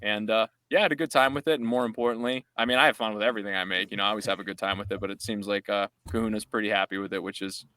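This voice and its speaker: A man's voice: on the low side, steady tone, rapid-fire, hardly any pauses, pushed and bright, clean tone. Upbeat rambling musician man. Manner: rambling, upbeat, chatty storyteller. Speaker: musician guest. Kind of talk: casual chat.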